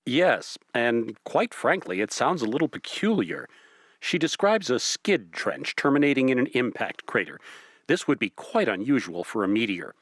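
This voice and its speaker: Man acting precise professor with animated pitch. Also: moderate pace, dry recording, studio quality. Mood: measured, skeptical. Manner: precise, formal, explanatory. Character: measured, skeptical male professor giving expert analysis. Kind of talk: radio drama acting as a phone interview.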